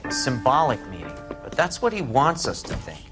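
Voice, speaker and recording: An actor playing a sober, knowing police colleague in a game cutscene, explaining and cautioning. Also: male voice, mid-range voice, wildly swooping pitch, moderate pace, music in the background. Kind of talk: naturalistic game cutscene acting.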